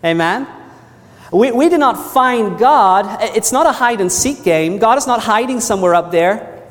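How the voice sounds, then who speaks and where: A man's voice: sits high, expressive, normal speed, soft background music. A man preaching a sermon in an earnest manner, often repeating his phrases and asking the congregation "Amen?". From New Zealand. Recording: long hall reverb.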